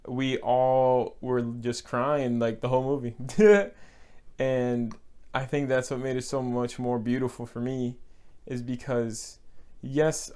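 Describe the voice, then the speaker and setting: Young texas guy gushing about a movie, smooth clear voice, repeats stuff for emphasis. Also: mid-range voice, a little mellow. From Texas, United States. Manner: earnest, emotional, repeats words for emphasis; breaks into short laughs. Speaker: film reviewer. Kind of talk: casual movie review.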